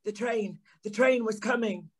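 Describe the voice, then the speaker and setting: A man playing a troubled, earnest gentleman in an audio play, speaking in a deliberate, dramatic manner. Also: high-pitched, background noise. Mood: panicked. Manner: deliberate, dramatic, halting repetitions. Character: troubled gentleman narrator, earnest, haunted by a nightmare.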